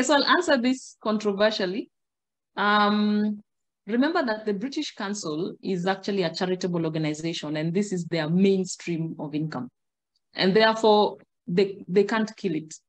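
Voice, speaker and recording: A middle-aged Kenyan woman on a video call, explaining in a measured way and gesturing as she talks. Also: mid-range voice. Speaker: guest, migration agent. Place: indoor room.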